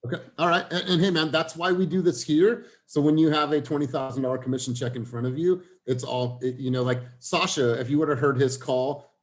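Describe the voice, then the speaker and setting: A man's voice: a little high, fairly even intonation, brisk, few pauses, slight background noise. Casual man coaching a team. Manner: informal, says "man" and "bro". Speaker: team leader running a sales training meeting. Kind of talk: casual coaching talk in a work meeting.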